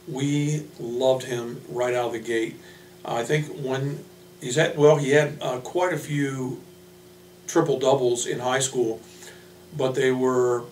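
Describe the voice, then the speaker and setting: An American man, a basketball coach, introducing his team informally with halting, pausing delivery. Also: medium pitch, darkish tone. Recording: echoey room from a distance, hum, noise.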